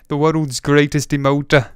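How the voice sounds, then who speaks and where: Thirtysomething guy ranting about movies, smooth voice, dead dry close mic. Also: a little high, no echo. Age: 30s. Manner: opinionated, rambling, jokey asides. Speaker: movie news host. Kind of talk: casual movie news chat, reading chat.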